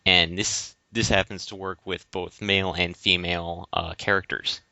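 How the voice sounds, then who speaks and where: A man's voice: low-pitched, flat delivery, moderate pace, gravelly, sharp tone, dry recording. A man co-hosting a podcast in casual discussion, hesitantly searching for words and repeating phrases. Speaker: podcast co-host. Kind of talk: casual discussion of video games. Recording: dry but dull lo-fi recording.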